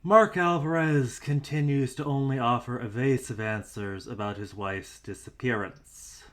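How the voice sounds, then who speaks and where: A man's voice: medium pitch, unhurried, natural voice. A man playing a weary, doubtful investigator in an audio drama, dictating private logs in a hesitant, dryly skeptical, musing way. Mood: frustrated, puzzled. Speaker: investigator and show host character.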